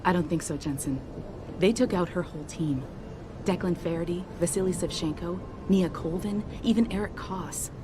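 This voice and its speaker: An actress playing a confident, friendly young company pilot in a video game, speaking casually and frankly. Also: female voice, lowish voice, moderate pace, background noise, natural voice. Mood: frank, matter-of-fact.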